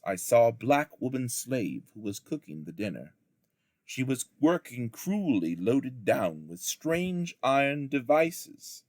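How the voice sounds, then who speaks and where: Slow, expressive man reading a storybook. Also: lowish voice, no room sound, clean studio sound. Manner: measured, deliberate phrasing with dramatic emphasis. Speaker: teacher reading a book aloud to children.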